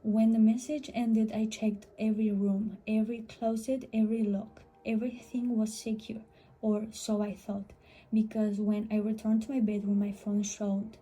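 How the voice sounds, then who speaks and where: A woman's voice: mid-range voice, warm tone, breathy edge, poor audio. A woman reading a scripted first-person horror story as a storyteller.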